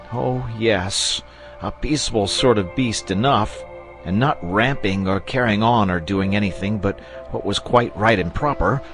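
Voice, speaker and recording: A man voicing an agitated, superstitious country shepherd in an audiobook, with rustic, exclamatory phrasing. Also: fairly low pitch. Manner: rustic dialect grammar, exclamatory.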